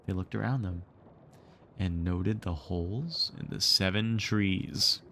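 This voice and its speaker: A man reading a story aloud as an expressive narrator, shaping each sentence for listeners. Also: sits low, slow, a little hiss or hum.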